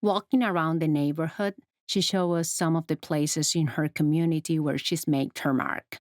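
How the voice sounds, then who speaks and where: A woman narrating a documentary report in a calm, descriptive, scripted manner. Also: sits low, normal speed, no echo. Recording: studio voice-over with music bed.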